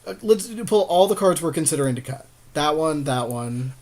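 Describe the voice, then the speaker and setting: Higher-pitched man in agreeable casual chat. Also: lively intonation, moderate pace, darkish tone. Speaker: podcast co-host. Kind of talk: casual chat while building a card game deck.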